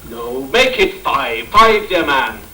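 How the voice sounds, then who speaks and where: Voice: medium pitch, big pitch swings, slow pace. An amateur actor voicing a bossy, haggling witch in a declamatory Christmas pantomime style. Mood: goading. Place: stage performance before a live audience. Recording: noisy echoey amateur stage recording, distant.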